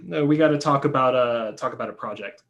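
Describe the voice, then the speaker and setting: A man's voice: medium pitch, a little fast, creaky, a bit bright. An actor reading a stage play as an uptight, polite screenwriter brother, hesitant and guarded as he speaks. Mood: guarded, uneasy.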